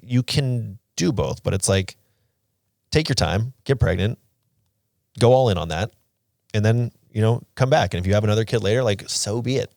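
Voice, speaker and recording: A man's voice: fairly low pitch, animated, normal speed, gravelly, darkish tone, no echo, clean studio sound. A man in his thirties co-hosting a podcast, talking casually and giving opinions with plenty of "like" thrown in. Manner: conversational, opinionated, peppered with "like". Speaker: podcast co-host.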